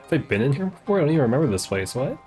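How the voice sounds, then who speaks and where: A man's voice: medium pitch, big pitch swings, very fast, dark tone. A man giving casual, slangy live commentary as he plays a game, offhand in his reactions. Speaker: game streamer. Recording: game music behind the voice.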